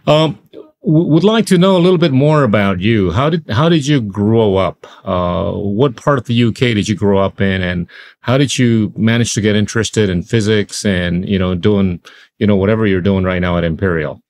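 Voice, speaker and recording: A man's voice: fairly low pitch, normal speed, a little mellow. A man hosting an interview, asking his guest questions in a conversational way. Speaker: interview host.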